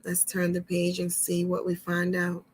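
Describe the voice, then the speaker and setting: A woman hosting a children's storytime, reading deliberately and emphatically, with chatty asides. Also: lowish voice, monotone, husky. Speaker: storytime host and reader.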